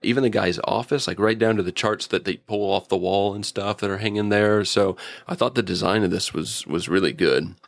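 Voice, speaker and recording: A man's voice: lowish voice, fairly quick, vocal fry, few pauses, no room sound. A man chatting casually as a guest reviewer, with an informal, enthusiastic fan's way of talking. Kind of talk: casual episode review chat.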